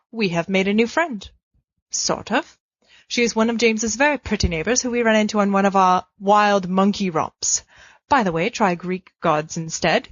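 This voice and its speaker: A woman's voice: medium pitch, no room sound. A woman reading a fan fiction letter aloud with a playful, cheeky, mock-pompous delivery. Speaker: podfic reader. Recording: dull lo-fi dry home recording.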